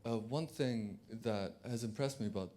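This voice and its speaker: A man's voice: mid-range voice, moderate pace, dark tone. A man from Turkey speaking from the floor at a conference, hesitant, with many fillers and restarts. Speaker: audience member.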